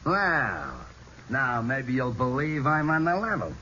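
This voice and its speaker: A man's voice: medium pitch, very animated, slow, sharp tone, slight background noise, heavily acted. An actor playing a sly, cornered male crook suspect in a 1940s radio drama, with a cocky, wheedling manner. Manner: wheedling, cocky then snarling. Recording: dull old radio broadcast.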